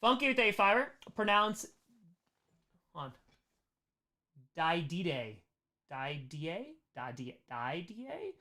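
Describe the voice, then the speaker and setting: An American man hosting a casual livestream Q&A, chatting in an animated way and repeating short phrases for emphasis. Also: high-pitched, takes it slowly, long pauses. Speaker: livestream host answering chat questions.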